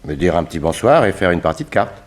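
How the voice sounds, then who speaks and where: A man's voice: sits low, very animated, fast, husky, full character voice. An actor playing a nervous, evasive man of thirty-five under suspicion, defensive and indignant, in a 1960s French radio drama.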